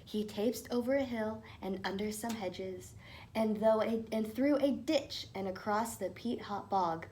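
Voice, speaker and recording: A woman's voice: mid-range voice, unhurried, dark tone, a little hiss or hum. A woman narrating a children's storybook as a teacher reading aloud.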